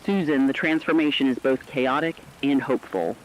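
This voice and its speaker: A man's voice: mid-range voice, moderate pace, slight background noise, close to an everyday voice. A man playing a sober radio field correspondent, giving a scripted report on a collapsing world in a formal, earnest way. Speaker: news correspondent. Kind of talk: scripted dramatic news report. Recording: dull lo-fi radio sound.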